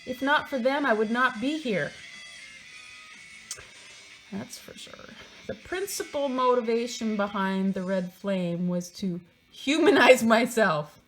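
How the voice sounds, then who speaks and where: A woman's voice: on the high side, slow, soft background music, poor audio. A woman reading a book aloud in a theatrical, mocking way, breaking into casual, sardonic asides of her own.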